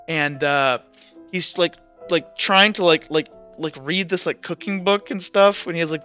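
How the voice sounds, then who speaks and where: High, bright-voiced young man running a game. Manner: rambling with many fillers and restarts. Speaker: game master. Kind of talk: narrating a tabletop game. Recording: dull lo-fi sound with faint music.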